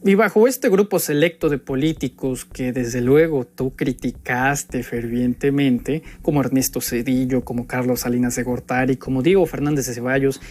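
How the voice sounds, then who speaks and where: A man's voice: mid-range voice, moderate pace, hardly any pauses, darkish tone. A young man with a Mexican accent giving a pointed, sarcastic political monologue full of rhetorical jabs at an opponent. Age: young adult. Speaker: political commentator. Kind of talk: spoken opinion monologue addressed to an opponent. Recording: background music under the voice.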